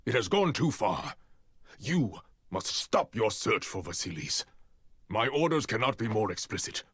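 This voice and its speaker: Spartan commander: low, husky, sweeping intonation, stern, formal authority. Game drama. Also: male voice, very animated, unhurried, fairly bright tone, no echo, heavily acted. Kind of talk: dramatic video game acting.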